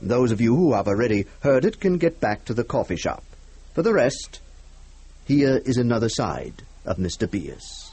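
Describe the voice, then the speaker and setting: A man hosting a radio drama programme, announcing in a measured, formal and dry manner. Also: medium pitch, very animated, noisy background.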